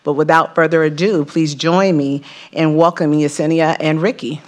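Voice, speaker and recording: A woman, an assistant superintendent, giving a measured, formal introduction at a school board meeting. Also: low-pitched, fairly even intonation, slight background noise.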